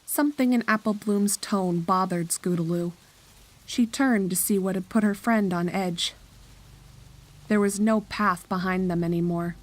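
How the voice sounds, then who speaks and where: A woman's voice: medium pitch, unhurried, rough recording. Woman narrating a scripted horror story.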